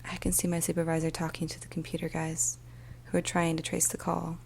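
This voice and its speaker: A woman voicing a weary, troubled police emergency dispatcher in a soft, often whispered ASMR storytelling monologue, speaking slowly and deliberately. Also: low voice, monotone, creaky, slight background noise. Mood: hushed, tense. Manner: slow, hushed, deliberate, with pauses. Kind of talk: ASMR storytelling, first-person dramatic monologue.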